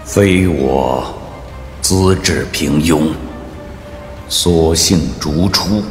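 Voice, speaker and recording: Man as severe martial sect elder, low voice, drawn-out delivery, cavernous reverb, audiobook drama. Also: heavily acted. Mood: stern, condemning. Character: stern male sect elder passing harsh judgment. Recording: long hall reverb, music underneath.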